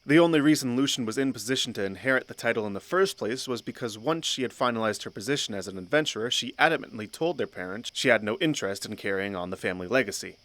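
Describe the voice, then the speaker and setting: A man's voice: mid-range voice, expressive, fast, few pauses, a bit bright, dry recording. A man narrating a fantasy audio drama in a scripted storybook style.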